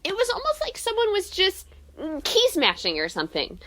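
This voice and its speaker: An excitable young female student in an audio drama, played by a woman. Her voice is high and bright, with hugely swooping intonation. She gushes and is keen to please. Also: moderate pace, full character voice. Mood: animated storytelling.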